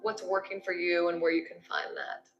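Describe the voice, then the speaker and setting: A woman's voice: fairly low pitch, flat delivery, moderate pace, creaky, roomy sound, dull audio, soft background music. A woman instructor guiding a yoga class in a conversational, encouraging way, giving step-by-step movement and breathing cues.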